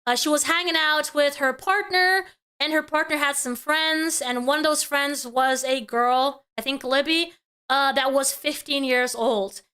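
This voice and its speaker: A woman's voice: high voice, fairly even intonation, a little slow, pushed and bright. A woman giving casual live reaction commentary as a streamer, emphatic and exasperated in her opinions.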